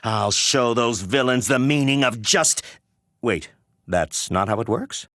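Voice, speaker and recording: Righteous, naive fantasy game hero. Deliberate, slow delivery, close dry sound. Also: male voice, mid-range voice, lively intonation, fairly bright tone, no echo. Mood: righteous indignation. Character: earnest, slow-witted righteous male hero. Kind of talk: fantasy game character lines.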